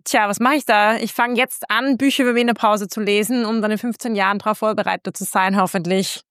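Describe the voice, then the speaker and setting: A woman in her thirties chats as a podcast co-host. Her pitch is average for a woman, and her delivery is conversational with some hesitation. The recording is very dry. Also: fairly even intonation, brisk, a bit bright, no room sound. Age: 30s. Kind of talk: casual podcast chat.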